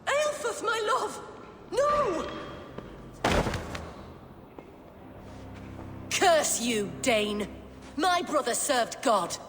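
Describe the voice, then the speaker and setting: An actress playing a proud, grieving sister in a game, with an impassioned, accusing delivery. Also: female voice, high-pitched, very animated, takes it slowly, background noise, heavily acted. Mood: anguished, accusing. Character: proud, passionate grieving sister, Saxon noblewoman. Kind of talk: dramatic game dialogue. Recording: long hall reverb, music behind.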